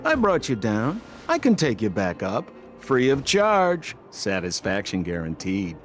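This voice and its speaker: An actor voicing a sly, smooth-talking young rogue guide in a video game, with theatrical, wheedling patter. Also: male voice, fairly low pitch, wildly swooping pitch, a little slow, faint music behind. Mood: smooth salesman. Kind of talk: anime-style game voice acting.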